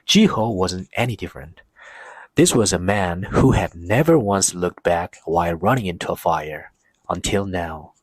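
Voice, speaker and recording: A man narrates a love story in a reflective, scripted style. His voice is low and clouded with a slight huskiness, recorded dry and close. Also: breathy edge, no echo, poor audio.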